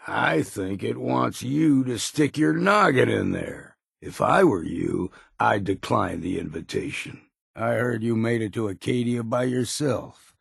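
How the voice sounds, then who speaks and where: Gravelly, wry old islander. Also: male voice, medium pitch, expressive, measured pace, no room sound, clean studio sound, full character voice. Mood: wry, easygoing. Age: older. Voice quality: gravelly, husky. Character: grizzled old hard-drinking islander companion, wry and gruff. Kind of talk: video game character voice lines.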